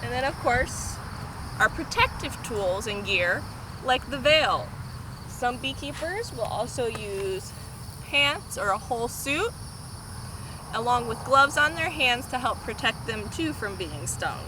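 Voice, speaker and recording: Woman, children's storytime presenter, high animated voice, simple kid-directed explanations, clear background noise. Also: takes it slowly. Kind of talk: explaining and reading a picture book aloud to children.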